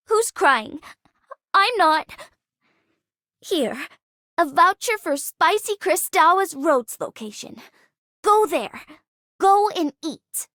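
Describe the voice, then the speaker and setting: Game role: proud, bossy young woman, very high voice, unhurried, curt and insistent. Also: fairly bright tone, dry recording, clean studio sound, heavily acted. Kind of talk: video game voice line.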